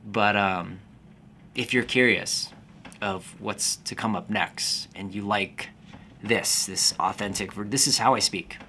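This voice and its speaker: A man in his forties, born in the Philippines, a fitness business coach talking to camera in a calm, slow, unhurried way. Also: on the low side, a little hiss or hum. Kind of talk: casual talking-to-camera vlog.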